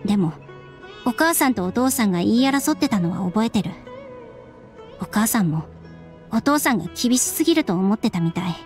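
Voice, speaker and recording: An actress voicing a subdued young woman in a Japanese game, speaking in a measured, wistful and serious way. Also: mid-range voice, lively intonation, a little mellow, soft background music, close to an everyday voice. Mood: resigned, sad. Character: subdued, resigned young woman, estranged daughter of strict scholar. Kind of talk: Japanese anime game voice acting.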